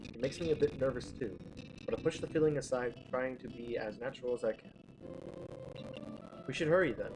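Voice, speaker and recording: A man narrating a first-person story aloud, reading haltingly with small stumbles. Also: medium pitch, music in the background. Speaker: streamer reading a visual novel. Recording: clearly noisy background.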